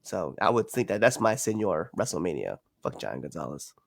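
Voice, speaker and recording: A man's voice: low voice, sing-song, fairly quick, vocal fry. A man co-hosting a wrestling podcast, trading jokes in loose banter, laughing often and swearing freely. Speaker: podcast co-host.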